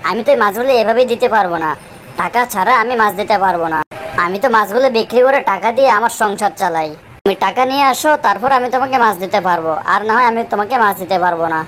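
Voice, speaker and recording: A woman's voice: mid-range voice, rapid-fire, a bit bright, slight background noise, close to an everyday voice. A woman playing a firm fish seller in a children's cartoon, matter-of-fact and insistent. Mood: firm refusal. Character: firm fish seller who needs the money. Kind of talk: children's cartoon story acting.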